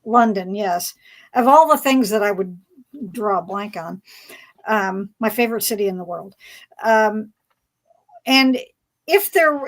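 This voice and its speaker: A woman moderating a casual fan panel discussion, speaking haltingly as she searches for words. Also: on the high side.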